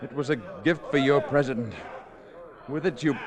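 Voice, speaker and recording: A man's voice: mid-range voice, moderate pace, lightly acted. A man playing a wounded, earnest alien visitor in a 1950s radio drama, with a crowd behind him. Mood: pained regret. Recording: old radio recording with crowd noise. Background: crowd noise.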